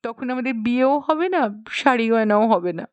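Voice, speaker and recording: A woman's voice: a little high, lively intonation, moderate pace, darkish tone, clean tone, dry recording, close to an everyday voice. A woman voicing a naive, timid, tender-hearted young woman cook in an audiobook story, anxious and earnest. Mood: timid, pleading.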